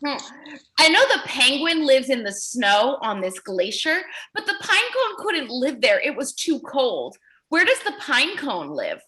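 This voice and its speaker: A woman reading aloud to young children as their teacher, encouraging and exaggerated, pausing to ask questions. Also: high-pitched, wildly swooping pitch, pushed and bright.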